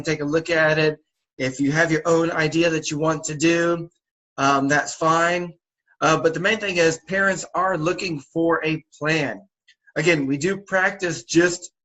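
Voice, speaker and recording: A man with a sore throat, sniffling a little, briefing volunteer youth basketball coaches over a video call in a relaxed, repetitive way with many fillers. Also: on the high side, low-quality recording. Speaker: youth basketball league organizer.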